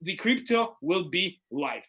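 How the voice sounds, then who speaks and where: Animated man with halting English. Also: high-pitched. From Eastern Europe. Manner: animated, halting, laughs often, non-native grammar. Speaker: co-host. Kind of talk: casual online panel chat. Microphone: video call.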